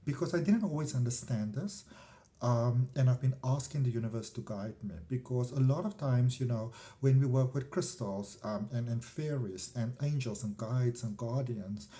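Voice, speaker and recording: A man's voice: medium pitch, normal speed, mellow. A middle-aged man with a South African accent, talking casually and discursively as a spiritual vlogger, often trailing off into asides. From South Africa, countryside. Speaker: spiritual vlogger and energy healer. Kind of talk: casual rambling personal talk to camera.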